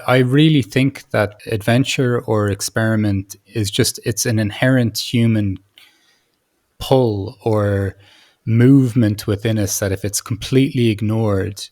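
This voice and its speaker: A man with a fairly low voice speaks as a podcast host in a reflective conversation. He feels his way toward his point, halting and rephrasing mid-sentence as he goes. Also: a little mellow.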